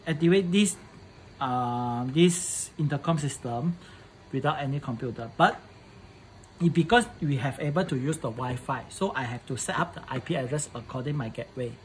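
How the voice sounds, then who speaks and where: A man presenting a casual hands-on setup tutorial in halting English, often repeating his phrases. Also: medium pitch, a little hiss or hum, poor audio.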